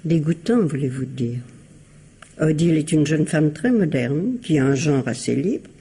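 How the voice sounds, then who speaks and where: A woman playing an elderly, falsely sweet, gossipy and scheming mother-in-law in a radio mystery, in a husky, insinuating, theatrical manner. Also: sits low, animated, moderate pace, warm tone, heavily acted. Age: older. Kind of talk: radio mystery drama acting.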